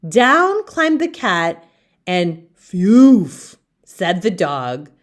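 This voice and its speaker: Very slow, expressive woman storyteller. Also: lowish voice. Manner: theatrical, deliberate phrasing for young listeners. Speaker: storyteller reading children's books aloud. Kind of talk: expressive children's read-aloud narration.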